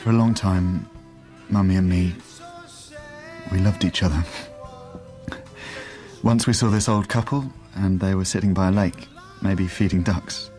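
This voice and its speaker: A man playing a gentle, halting young father, lovelorn and bewildered, in a naturalistic radio drama. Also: low-pitched, fairly even intonation, faint music behind. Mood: wistful, bereft. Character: lovelorn, bewildered father putting on cheer for his daughter. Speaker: actor.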